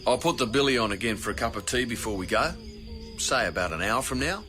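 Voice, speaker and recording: An actor playing a plain-spoken, respectful country coach driver in a radio drama. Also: male voice, mid-range voice, expressive, fast, sharp tone, soft background music. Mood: deferential, homely.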